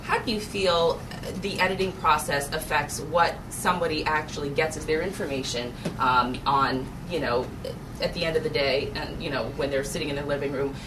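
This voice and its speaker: Woman, deep voice, conversational moderator, off-mic, noisy room. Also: steady tone, normal speed. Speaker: panel moderator. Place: event room at a live panel discussion. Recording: noisy room, distant microphone.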